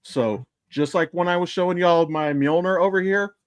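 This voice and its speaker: A man's voice: high-pitched, moderate pace, no echo. A middle-aged man hosting a podcast in casual chat, rambling and swearing freely as he tells stories. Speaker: podcast host.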